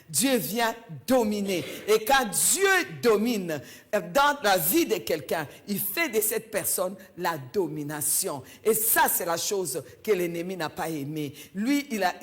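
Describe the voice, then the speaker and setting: A woman from Belgium, preaching a sermon in a church and stressing her points by repeating key phrases. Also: on the low side.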